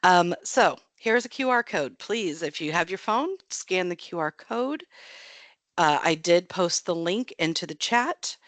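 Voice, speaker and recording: A woman's voice: fairly low pitch, animated, a little slow, fairly bright tone, no echo. An American woman moderating an online webinar, giving friendly, chatty announcements with frequent ums and small restarts. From United States. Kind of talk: casual announcements to an online audience.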